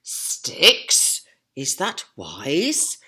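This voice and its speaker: A woman voicing a sensible young male pig from a storybook, speaking in a deliberate, emphatic way. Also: deep voice, huge pitch swings, sharp tone, heavily acted. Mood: earnest doubt.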